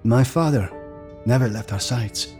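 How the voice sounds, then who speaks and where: A man's voice: mid-range voice, unhurried, music in the background, natural voice. An actor playing a reflective elderly survivor recalling his boyhood in an audio drama, wistful and reminiscing. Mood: nostalgic.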